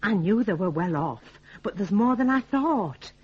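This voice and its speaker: Bossy Northern English mother, husky and animated. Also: medium pitch. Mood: scheming, bossy. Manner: brisk, nagging, conspiratorial. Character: bossy, scheming middle-aged mother of grown sons. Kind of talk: radio drama acting. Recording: dull 1980s radio broadcast recording.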